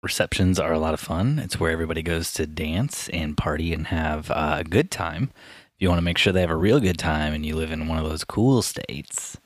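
A man co-hosting a casual wedding podcast with his wife, wisecracking and teasing, with a gravelly voice. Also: a bass voice, a little fast, dry recording, clean studio sound. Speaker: podcast co-host.

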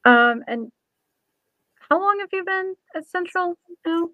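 Lady host w a high voice, chatty n informal, kinda muffled audio, no room echo. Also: animated, slow. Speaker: show host; ordained minister, former pastor. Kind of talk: casual interview chat.

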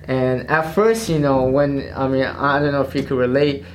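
A woman's voice: very deep voice, steady tone, moderate pace. A woman interviewing a guest with casual questions, often restarting her sentences and prompting in a friendly way.

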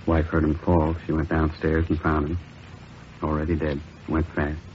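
Veteran police captain, low n monotone, somber, terse, old radio drama sound. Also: male voice. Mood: grave. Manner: terse, halting, low-key. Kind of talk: 1950s radio crime drama acting. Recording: muffled, noisy old radio recording.

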